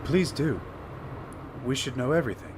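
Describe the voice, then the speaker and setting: A man playing a composed, considerate gentleman doctor who speaks politely and attentively in an audio drama. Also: medium pitch, animated, normal speed, lightly acted. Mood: curious, polite. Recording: loud background ambience noise.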